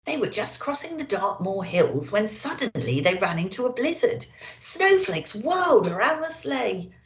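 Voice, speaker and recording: A woman telling stories to children in an expressive, theatrical, sing-song way. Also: mid-range voice, normal speed, echoey room. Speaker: children's storyteller presenting as an elf.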